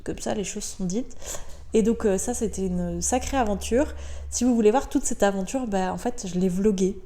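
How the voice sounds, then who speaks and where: Woman, French accent, solo podcast host; warm, mellow tone, chatty and upbeat, lots of filler sounds. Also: mid-range voice, normal speed. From Belgium. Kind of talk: casual solo podcast monologue. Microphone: podcast microphone.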